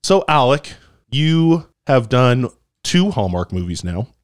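A man's voice: mid-range voice, very animated, slow. A man co-hosting a casual interview, joking and teasing and laughing often. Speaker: co-host.

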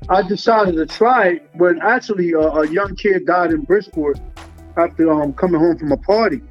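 A laid-back man chats in a loose, slangy way, leaning on fillers as he talks about his music. His voice sits a bit high for a man. The recording is dull and lo-fi, with faint music behind. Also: normal speed. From Liberia. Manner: laid-back, slangy, many fillers and "you know what I'm saying". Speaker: guest musician. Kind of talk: casual interview talk.

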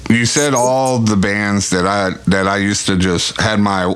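A man's voice: fairly low pitch, fairly even intonation, a little slow, a bit bright, clean tone. A man in his forties hosting a casual podcast interview, folksy and faith-focused, often repeating words as he talks. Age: 40s. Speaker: podcast host.

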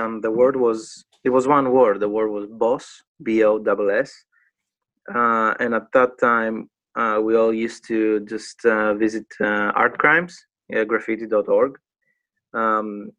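A man in his thirties with a Greek accent, a native Greek speaker, chatting casually as an interview guest and often pausing to search for words. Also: mid-range voice, fairly bright tone, muffled recording. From Greece. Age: 30s. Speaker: guest, graffiti artist.

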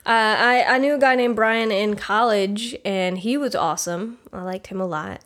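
A woman's voice: medium pitch, moderate pace, fairly bright tone. A woman co-hosting a podcast, telling corny puns in casual chat and giggling at her own jokes. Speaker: podcast co-host.

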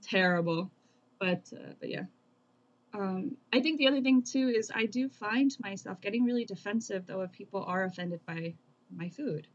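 A woman's voice: medium pitch, moderate pace, highs cut off. Candid Vietnamese-American young woman, casual. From United States. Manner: relaxed and candid, many filler words, swears freely. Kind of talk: casual group chat.

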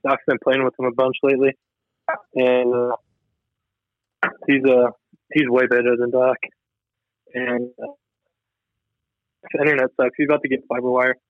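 A young man drifts through long, loose stories, swearing offhandedly as he goes. He sits at a middle pitch. His voice comes over a remote call line that sounds dull and lo-fi, with no room sound. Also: level tone, moderate pace, long pauses, dry recording. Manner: rambling, meandering, swears casually. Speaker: podcast co-host. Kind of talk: casual chat.

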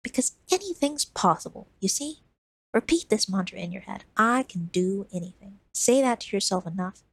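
A woman's voice: mid-range voice, expressive, moderate pace, breathy edge, no room sound. A woman voicing a male self-help radio host who sounds preachy and motivational, heard through garbled radio static.

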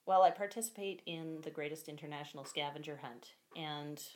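Low-voiced candid woman guest. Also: a little fast. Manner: candid, chatty, laughs often. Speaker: guest, costumed performer. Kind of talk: casual interview chat.

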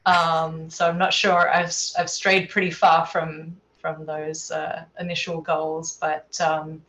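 A woman's voice: low voice, level tone, slow, fairly bright tone, poor audio. A woman from Australia with an Australian accent touched by American, chatting casually as an easygoing interview guest from home. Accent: Australian with American influence. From Adelaide, Australia. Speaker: podcast guest, scientist. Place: at home, remote call.

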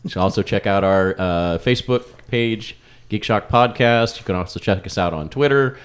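A man's voice: on the low side, normal speed. A man speaking casually as a podcast host in a group chat.

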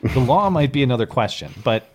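A man's voice: mid-range voice, animated, fairly quick, a little mellow. A man hosting a live call-in show, talking with callers in a casual, wry and sarcastic way.

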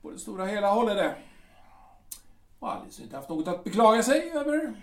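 A man's voice: sits high, very animated, fairly bright tone, full character voice. A man playing a bluff, boastful aging artillery captain in an audio drama. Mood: bickering. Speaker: Edgar. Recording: roomy and distant.

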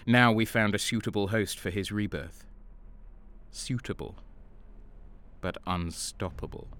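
An actor playing a sadistic renegade guardsman, narrating in the first person in a brooding, deliberate way. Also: on the low side, a bit bright. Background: music.

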